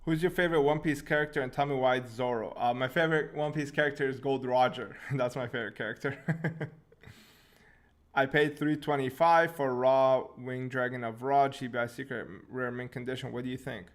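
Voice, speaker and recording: A young man from Syria who grew up in Canada, hosting a casual live question-and-answer stream, relaxed and quick to laugh. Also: mid-range voice. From Syria, raised in Canada. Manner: relaxed, laughs often, restarts words. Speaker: channel host answering live chat questions.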